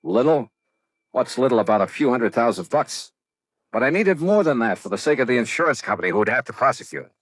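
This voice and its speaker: An actor playing a shrewd, brisk insurance investigator in an American 1950s radio detective drama, clipped and self-assured. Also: male voice, mid-range voice, lively intonation, dry recording, lightly acted, low-quality recording. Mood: wry narration. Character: shrewd, brisk male insurance investigator narrating his case. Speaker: lead actor and narrator.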